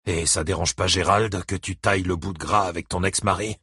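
A man voicing a battered, lonely ex-convict father, gruff, curt and wry, in an audiobook novel. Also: sits low, fairly even intonation, brisk, pushed and bright, no room sound, clean studio sound, exaggerated voice. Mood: weary, softened.

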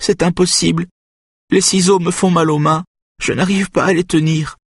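A man's voice: high-pitched, fairly even intonation, moderate pace, husky, dry recording, clean studio sound, voice pushed up. A man voicing a terrified, timid woman in an audiobook, tearful and imploring. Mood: whining, desperate. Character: terrified, timid, pleading woman forced into cruelty. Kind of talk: audiobook character acting.

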